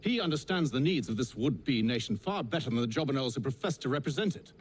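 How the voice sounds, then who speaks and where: A man's voice: medium pitch, expressive, quick pace, natural voice. An actor playing a proud middle-aged Templar father in a video game, British, with a dry, sardonic, eloquent delivery. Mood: passionate, disdainful. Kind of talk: video game cutscene acting. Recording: faint background ambient noise.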